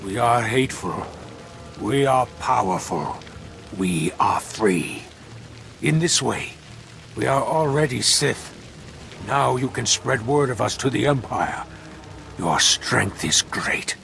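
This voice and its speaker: A man's voice: medium pitch, lively intonation, takes it slowly, gravelly, a bit bright, faint music behind, exaggerated voice. A man playing a fanatical, grandiose leader of murderous escaped slaves in a video game. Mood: fervent. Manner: grandiose, solemn preaching. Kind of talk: dramatic video game voice acting.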